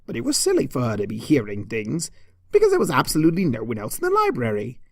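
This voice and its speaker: An arch, theatrical narrator reads a comedic story with mock pomposity. The pitch swoops widely from phrase to phrase, and the sound is dry, with very little room in it. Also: wildly swooping pitch, fast talker, darkish tone, no echo. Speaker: fan fiction reader.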